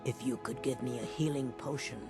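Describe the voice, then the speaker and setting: An actor playing a desperate, ashamed addict in a fantasy video game, pleading and anxious, with a gravelly voice. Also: male voice, mid-range voice, fairly even intonation, brisk, natural voice. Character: desperate, ashamed addict, a down-on-his-luck fishery worker. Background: game music.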